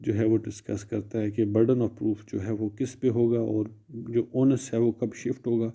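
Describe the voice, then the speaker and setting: A man's voice: fairly low pitch, little pitch movement, measured pace, vocal fry, dark tone. A man with a Pakistani accent giving an explanatory exam-prep lecture, switching between two languages and restating points in other words. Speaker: law lecturer. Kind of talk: exam-prep lecture mixing Urdu and English. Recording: muffled, dull lo-fi audio.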